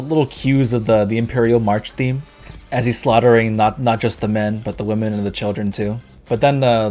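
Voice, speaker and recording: A man casually reviewing movies, rambling and correcting himself, his voice worn and going hoarse. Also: fairly low pitch, dark tone. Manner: rambling, self-correcting, laughs often. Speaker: YouTube reviewer.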